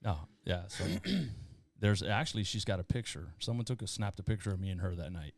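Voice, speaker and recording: Slangy, lower-voiced man telling stories. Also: brisk, no room sound. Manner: enthusiastic, slangy, says dude and bro. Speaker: podcast guest. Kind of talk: casual storytelling chat.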